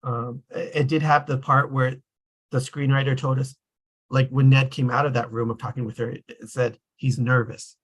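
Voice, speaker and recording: A man's voice: medium pitch, little pitch movement, moderate pace, breathy edge. A man hosting a casual group discussion, talking in a loose, rambling way that often trails off. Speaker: discussion host.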